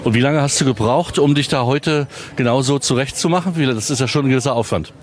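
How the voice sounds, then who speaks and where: A man's voice: medium pitch, fast, slight background noise. A middle-aged man from East Germany hosting a casual radio chat, steering it with questions. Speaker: radio host and interviewer.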